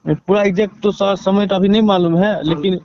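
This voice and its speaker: A man with a Bihari accent giving a halting, deferential account in a phone interview, leaning on repeated filler phrases. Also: high-pitched, fairly even intonation, a little mellow. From Bihar, India. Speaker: interviewee, relative seeking justice. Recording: dull lo-fi phone call.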